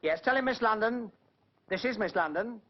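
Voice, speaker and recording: A cheeky little wisecracking joker. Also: male voice, sits high, lively intonation, moderate pace. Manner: cheeky, quipping, playful wordplay. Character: diminutive wisecracking male agency co-owner. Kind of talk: 1940s film comedy acting. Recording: dull old film soundtrack.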